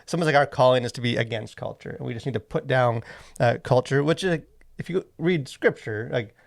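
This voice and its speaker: A man with a noticeably creaky, mid-pitched voice co-hosts a casual discussion. He rambles and halts, circling his point with plenty of "like" and "you know.". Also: a little fast. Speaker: podcast co-host. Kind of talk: casual discussion of faith and culture.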